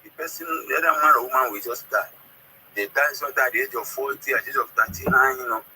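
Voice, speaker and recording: Male caller, southern Nigerian accent, Ika native speaker, very sharp forceful tone, halting explanations, faint line noise. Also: medium pitch. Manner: halting, repeats words, checks understanding. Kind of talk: explaining history and language on a call-in. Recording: noisy call audio.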